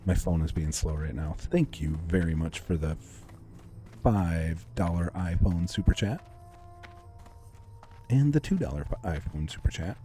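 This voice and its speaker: A young man livestreaming a game, chatting calmly and casually with his viewers and thanking them for comments. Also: deep voice, measured pace, pauses often. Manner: laid-back, calm, chatty with viewers. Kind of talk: casual live-stream chat reading comments.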